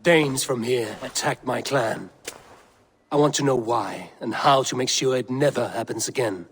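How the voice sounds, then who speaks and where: An actor playing a blunt, resolute Viking raider leader in a dramatic video game scene. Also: male voice, medium pitch, a little slow, husky. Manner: direct, challenging, resolute.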